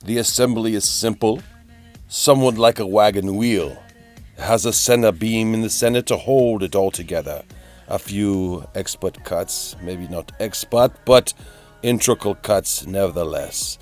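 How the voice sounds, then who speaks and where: A man giving a melodramatic, whimsical storybook-style narration of a DIY build, repeating phrases for effect, in a gravelly voice. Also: lowish voice, unhurried, darkish tone. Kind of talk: theatrical storybook-like narration of a hobby build video. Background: music.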